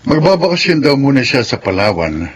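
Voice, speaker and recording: A man's voice: medium pitch, very animated, brisk, slight background noise, lightly acted. An actor playing a jovial middle-aged widowed father in a radio drama, chatty and easygoing. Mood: easygoing, hopeful.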